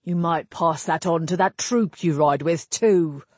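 Storybook guard captain, blunt scolding man, dry husky voice, read by a woman. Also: lowish voice, unhurried, darkish tone, dry recording. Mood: stern. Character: annoyed gruff male captain of the guard.

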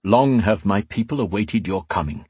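Man voicing a solemn, low, hushed desert chief. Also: expressive, normal speed, breathy edge, no room sound. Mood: solemn, reverent. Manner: formal, stately, ceremonious. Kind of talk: fantasy audiobook character acting.

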